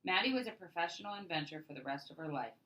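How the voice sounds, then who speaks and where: A woman with a low voice and a bright, forceful edge reads a children's storybook aloud. Her narration is expressive and scripted, with emphatic openings to phrases that fall away at the end. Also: fast talker, low-quality recording.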